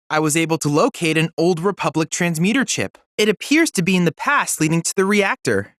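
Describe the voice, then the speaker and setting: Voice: mid-range voice, fairly bright tone, dry recording, studio quality, lightly acted. An actor playing a polite, scholarly teenage boy android research assistant in a video game, speaking in a formal, precise, courteous way. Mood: informative, precise. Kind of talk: scripted video game character dialogue.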